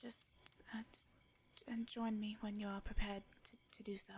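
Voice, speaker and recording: A woman playing a shy, formal vampire in a roleplay audio drama, with an English accent, a soft breathy voice and hesitant, flustered phrasing. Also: mid-range voice, flat delivery, normal speed, a little hiss or hum, close to an everyday voice. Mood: polite, reserved.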